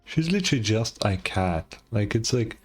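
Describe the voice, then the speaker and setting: A man's voice: lowish voice, very animated, moderate pace, breathy edge. A man giving laid-back, hesitant commentary as he streams a video game playthrough. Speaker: gaming streamer. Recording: faint game music behind.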